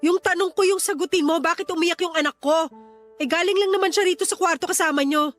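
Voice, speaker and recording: Man voicing a very high-pitched, hurt young mother. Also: fast, a bit bright, soft background music. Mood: accusing, upset. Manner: pleading, accusing questions. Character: hurt, protective young mother confronting her husband. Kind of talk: Tagalog radio drama acting.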